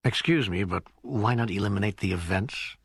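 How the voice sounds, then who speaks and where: A man reads his side of an audiobook dialogue. His voice is husky and a little low, with a very dry studio sound. He sounds conversational and questioning. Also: moderate pace, a bit bright, no room sound. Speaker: audiobook narrator. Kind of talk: scripted audiobook dialogue reading.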